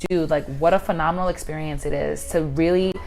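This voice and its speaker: A woman in her twenties hosting a casual podcast chat, an animated storyteller who exaggerates and laughs often. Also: low-pitched, brisk, vocal fry, faint music behind, rough recording. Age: 20s. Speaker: podcast host.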